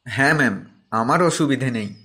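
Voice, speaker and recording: A man playing a sweet, earnest teenage schoolboy in an audio love story, gentle and coaxing. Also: mid-range voice, lively intonation, moderate pace, slightly rough, exaggerated voice. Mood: polite. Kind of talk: Bengali audio story acting.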